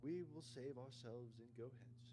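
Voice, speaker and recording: A man's voice: medium pitch, normal speed, natural voice. A man voicing an earnest young son comforting his mother in an amateur fairy tale reading, in old-fashioned phrasing. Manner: earnest, archaic storybook phrasing. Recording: noticeable background noise.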